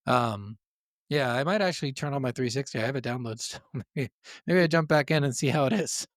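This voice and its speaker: A man's voice: medium pitch, fairly quick, no room sound. Casual man on a dry, close recording. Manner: profane, informal slangy talk. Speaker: podcast co-host. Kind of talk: casual gaming podcast chat.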